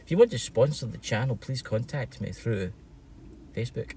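A man's voice: mid-range voice, expressive, moderate pace, warm tone, slightly rough. A middle-aged Scottish man hosting a casual vlog, chatting and teasing jokily with a friend. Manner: jokey, teasing banter. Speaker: vlog host. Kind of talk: casual livestream chat with a friend. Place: inside a parked car. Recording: noticeable background noise inside car.

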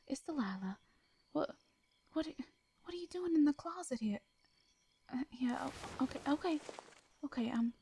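A woman playing a gentle Southern farm woman, higher-pitched. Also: slightly rough. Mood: concerned, soothing. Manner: soft, hesitant, folksy endearments. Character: caring young female farmer, gentle, folksy, protective. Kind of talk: intimate roleplay audio drama acting.

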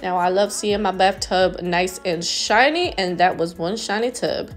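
A woman's voice: medium pitch, unhurried, over loud music. A woman in her thirties, a stay-at-home mom, giving a casual, upbeat voice-over about cleaning her house, with small laughs.

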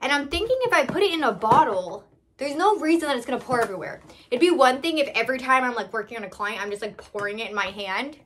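A woman's voice: high voice, lively intonation, quick pace, fairly bright tone, slight background noise. A young woman talking casually and candidly through a product haul, thinking aloud as she goes. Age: young adult. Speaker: salon owner vlogger. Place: small indoor room.

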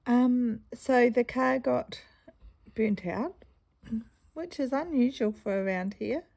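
A woman with a New Zealand accent chatting casually in a stitching vlog, rambling through a story and often clearing her croaky throat. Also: fairly high pitch, slow, darkish tone. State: croaky morning voice. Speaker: cross-stitch vlogger.

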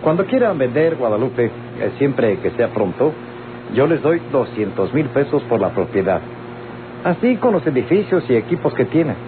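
An actor with a Mexican accent playing a courteous, scheming man in an old radio drama, speaking deliberately and insinuatingly. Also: medium pitch, very animated, narrow, tinny audio, natural voice. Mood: persuasive. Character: courteous, scheming man pressing women to sell. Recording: noisy, band-limited old radio recording.

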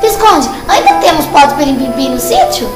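A woman's voice: high voice, big pitch swings, moderate pace. A woman voicing a cheeky, impudent little living rag doll girl in a cartoon, bossy and demanding. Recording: echoey room, music behind.

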